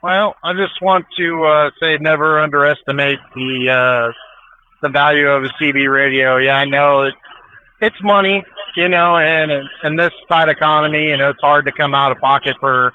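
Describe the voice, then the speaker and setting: An American man, a trucker guest, chatting in a folksy, measured, rambling way over a remote call. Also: fairly high pitch, slight background noise. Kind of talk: casual chat, parting remarks. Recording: thin phone-like radio line.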